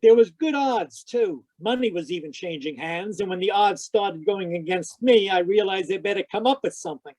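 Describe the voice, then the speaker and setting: A man plays a hard-boiled private detective in a radio mystery. His voice is high for a man, wry and deadpan, recorded close and dry. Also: moderate pace, few pauses, no echo, poor audio. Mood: wry narration. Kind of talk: old-time radio detective drama and narration. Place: live online performance over video call.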